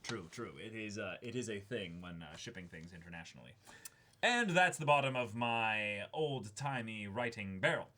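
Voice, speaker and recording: Bright-voiced young man reading aloud. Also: on the low side, animated, moderate pace. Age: young adult. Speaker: co-host of an unboxing show. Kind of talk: reading a letter aloud with casual asides. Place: small indoor room, seated before a backdrop.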